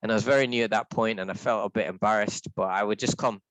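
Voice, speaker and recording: A man with a deep voice leading a reflective scripture reading, talking in a thoughtful, halting way with restarts. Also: fairly even intonation, fairly bright tone, no echo, rough recording. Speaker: scripture class leader.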